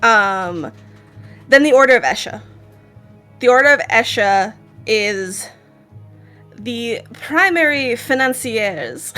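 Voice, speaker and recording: A woman's voice: fairly high pitch, fairly bright tone. A woman with an Israeli accent, narrating a tabletop game as game master in a casual, laughing way. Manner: laughs often, casual with fillers.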